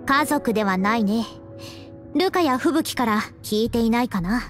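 A woman playing a worldly, frank young female soldier in an anime game, explaining things in a measured, breezy way. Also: a little high, lively intonation, natural voice. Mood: grave. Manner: measured explaining, breezy masculine-style phrasing. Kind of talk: Japanese anime game voice acting. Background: game music.

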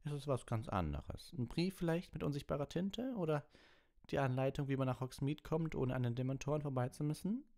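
A man's voice: mid-range voice, a little fast, a little mellow, pitched above the performer's own voice, close to an everyday voice. A man voicing a sneering, sarcastic, menacing male school professor in an audiobook. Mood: sarcastic, suspicious. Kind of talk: audiobook character reading.

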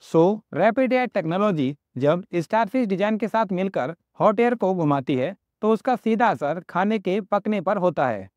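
A man in his thirties with an Indian accent, presenting a product review and then a recipe walkthrough in an upbeat sales pitch. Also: expressive, normal speed, few pauses, darkish tone, dry recording. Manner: upbeat salesy pitch, addresses viewers as friends. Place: small home office room indoors. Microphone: lapel mic.

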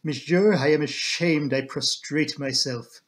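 A man voicing a fussy, solemn little foreign gentleman with a French accent and florid, formal speech. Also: on the high side, a little slow, natural voice. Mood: apologetic.

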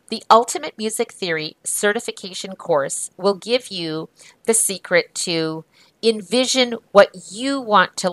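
A woman's voice: mid-range voice, a bit bright, dry recording, rough recording. A woman host reads scripted promotional copy in an upbeat, polished way, introducing the next speaker. Her voice is recorded close and dry, with no room around it. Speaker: course host.

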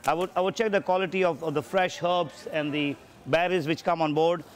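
A man's voice: on the high side, steady tone, fairly quick. A man, an executive chef, walking through and explaining his kitchen in a casual way, often repeating words as he goes. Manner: repeats words while gathering thoughts. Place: ship galley kitchen.

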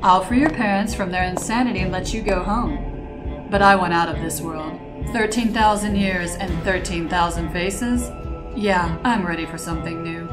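A woman's voice: lowish voice, normal speed, music in the background, close to an everyday voice, low-quality recording. Sinister woman as an ancient cult leader. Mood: amused. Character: ancient smiling female cult leader deity, smugly sinister. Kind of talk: fan-made cartoon audio drama acting.

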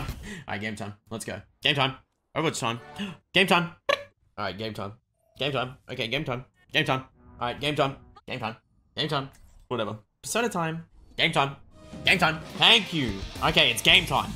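Excitable streamer with a bright, swooping voice. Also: medium pitch, normal speed, faint music behind. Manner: excitable, exclamatory. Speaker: video game streamer. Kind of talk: excited livestream gaming chatter.

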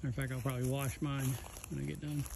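A man talks in an easygoing way while hiking through woods, his voice at a middle pitch. Outdoor noise runs clearly behind him, picked up by a phone he carries. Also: level tone, rapid-fire. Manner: easygoing, reminiscing, narrates the trail. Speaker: vlogger. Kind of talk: casual chat on a hike. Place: outdoors on a wooded trail. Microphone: phone camera held while walking.

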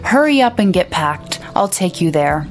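A woman's voice: fairly low pitch, big pitch swings, normal speed, faint music behind. A woman doing a sarcastic, scolding young man. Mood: scheming. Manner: scornful, scolding.

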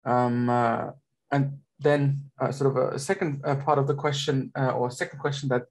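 A man's voice: mid-range voice, flat delivery, moderate pace. A young man moderating a webinar, reading out audience questions with many hesitations, over a video call.

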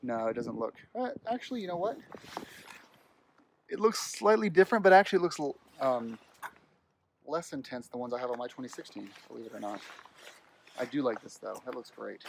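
A man's voice: mid-range voice, lively intonation, fairly quick, vocal fry, pauses often, noisy background. Man chatting through a car walkaround. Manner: chatty, thinking aloud with fillers and restarts. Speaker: car vlogger. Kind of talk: casual walkaround commentary. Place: outdoors at a car dealership lot, then inside a car. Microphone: handheld phone camera.

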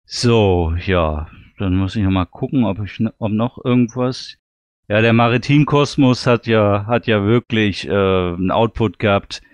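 A man with a fairly low, smooth voice chats as a podcast host. He sounds enthusiastic and emphatic, and the sound is close and dry. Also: unhurried, no echo. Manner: enthusiastic, often repeats "wirklich". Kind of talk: casual panel chat reviewing audio dramas.

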